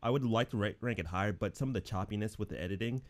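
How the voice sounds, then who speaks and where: A man's voice: fairly low pitch, racing. A young man chatting casually about an episode in a laid-back, easygoing way. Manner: laid-back, says dude a lot. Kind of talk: casual review chat.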